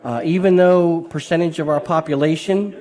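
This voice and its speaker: A man, hoarse from a summer cold, chatting in a friendly way as a guest in a casual radio interview. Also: on the high side, moderate pace, warm tone. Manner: friendly, chatty, laughs easily. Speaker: guest from a local historical society.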